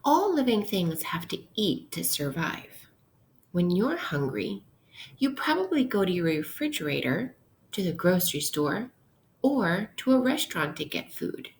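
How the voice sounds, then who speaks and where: Female primary teacher, read-aloud lesson style, slightly low pitch, unhurried instructional delivery, slight background noise. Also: sing-song. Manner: instructional, posing questions to listeners. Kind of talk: interactive read-aloud lesson for young children.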